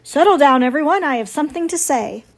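A woman's voice: high voice, expressive, fast talker. A woman voicing a bossy, alarmed and suspicious owl in a storybook, declaiming emphatically. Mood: self-important.

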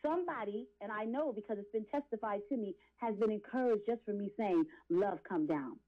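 A woman's voice: medium pitch, a little fast, lo-fi sound. A woman in her late forties giving testimony as an interview guest, speaking in an impassioned, preachy, emphatic way with repeated phrases. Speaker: guest, R&B and gospel singer.

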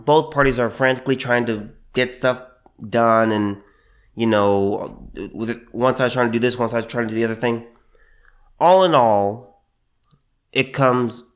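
Halting man with allergies, mid-pitched. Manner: halting, repeats phrases, many fillers; slows down to stress points. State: under the weather, allergies. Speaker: podcast host. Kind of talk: solo commentary podcast.

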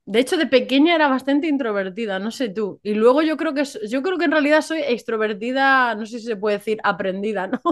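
A woman's voice: fairly high pitch, brisk. A woman from hosting a casual podcast, chatty and often checking for agreement with little tag questions. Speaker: podcast host.

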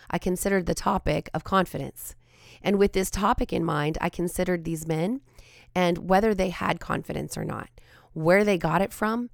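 A woman hosts a devotional podcast in a fairly low, gravelly voice, hoarse and raspy from a cold. She talks in an earnest, reflective way, often pausing with ums and restarting her sentences. The sound is dry and close to the mic. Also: no echo. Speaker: podcast host and Bible teacher.

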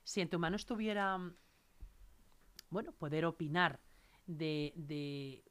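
A woman's voice: deep voice, takes it slowly, fairly bright tone, clear, resonant tone. A woman interviewer who asks long, admiring questions that wander, full of fillers.

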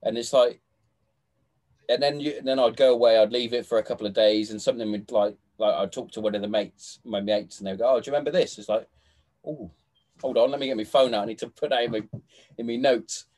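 A man's voice: medium pitch, fairly even intonation, quick pace, darkish tone. A man hosting a casual chat, swearing freely, laughing often and restarting his sentences. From England. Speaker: podcast host.

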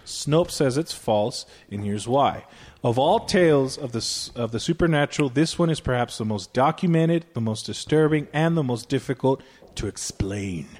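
A man's voice: medium pitch, lively intonation, normal speed, a little mellow. A man and podcast co-host giving a dramatic reading of a story, with joking asides. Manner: hammy storyteller voice, breaks into laughs.

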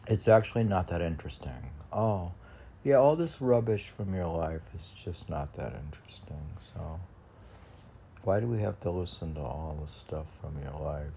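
A man reading his own poems and adding casual, rambling asides in a musing, halting manner. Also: deep voice, vocal fry, mellow, a little hiss or hum. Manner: musing, halting, talks back to own lines.

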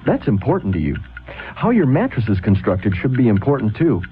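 A man's voice: on the low side, big pitch swings, fairly quick, faint music behind. A man reading a 1970s radio commercial as an announcer. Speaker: advertising announcer. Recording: thin, narrowband vintage radio.

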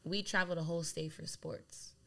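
A woman's voice: fairly low pitch, moderate pace. A young woman from Delaware, a DJ, chatting casually in an interview, rambling with lots of "like". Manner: rambling, heavy use of "like", animated. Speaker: DJ and guest.

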